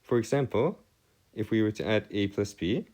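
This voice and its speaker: A man tutoring in an improvised explanatory lesson, with formal wording and halting, self-correcting delivery. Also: lowish voice, darkish tone. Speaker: math tutor.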